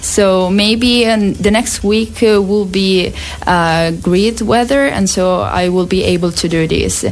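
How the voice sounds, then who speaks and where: A young Italian woman with an Italian accent, a native Italian speaker, talking as an exchange student in halting English with many fillers. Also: medium pitch. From Italy. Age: young adult.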